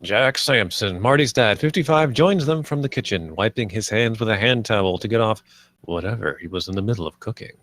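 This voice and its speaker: A man's voice: fairly low pitch, fairly quick, a bit bright, no room sound. Dry-sounding man narrating screenplay directions. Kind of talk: scripted narration at live table read.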